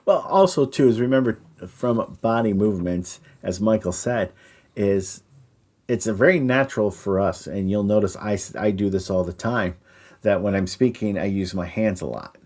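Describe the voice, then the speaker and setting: A man with a fairly low voice co-hosts a talk on performance technique. He explains each point deliberately and addresses the listener directly, like an instructor. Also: a little mellow. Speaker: podcast co-host and Christmas performer. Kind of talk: instructional discussion on storytelling.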